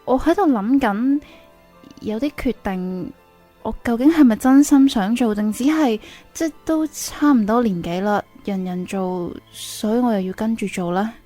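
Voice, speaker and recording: A woman's voice: a little high, unhurried, mellow, soft background music. A woman acting in a Cantonese radio drama as a conflicted 24-year-old teacher, with a Hong Kong accent. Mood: wistful, hesitant. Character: 24-year-old female teacher, engaged, conflicted, secretly involved with student.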